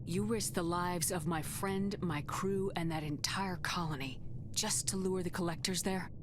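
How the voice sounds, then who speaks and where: Woman acting a low-voiced, steadfast commander. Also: moderate pace, background noise, close to an everyday voice. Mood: stern, confronting. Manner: firm, controlled, serious. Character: steadfast, resolute female military commander. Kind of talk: dramatic video game acting.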